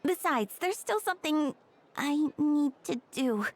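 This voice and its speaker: Downcast, high-voiced young woman. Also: lively intonation, a little slow. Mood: hesitant, sad. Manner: sighing, hesitant. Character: downcast, withdrawn young woman, gentle and troubled. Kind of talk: video game character acting.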